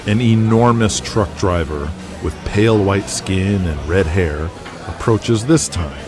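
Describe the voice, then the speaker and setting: A man's voice: low-pitched, slow pace. A man narrating a scripted audio drama as the storyteller. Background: music with guitar.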